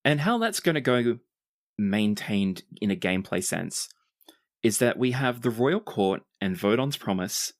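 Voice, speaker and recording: Game master, mid pitch, dry close recording, laying out rules and scenes for players. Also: sing-song, measured pace, no echo. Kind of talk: explaining tabletop game rules and narrating.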